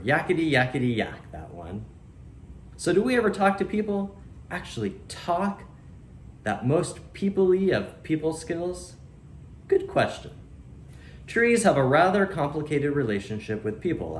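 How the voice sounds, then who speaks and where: A proud, friendly oak tree read by a man chats to young listeners with dry storybook humor. The delivery swings up and down, with emphatic words and deliberate pauses, in an echoey room with faint noise. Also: on the high side, very animated. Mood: playful, gossipy, then confiding, reassuring. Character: friendly, wry, proud old red oak tree narrating. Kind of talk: children's storybook read-aloud. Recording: roomy echo, faint background noise.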